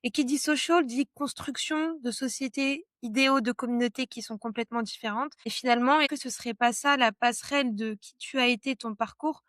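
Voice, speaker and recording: A woman's voice: fairly high pitch, fairly quick, few pauses, a bit bright, no echo, studio quality. A French woman hosting a podcast interview, talking in a thoughtful, hesitant way with bits of English. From France. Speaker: podcast host. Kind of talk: casual interview conversation.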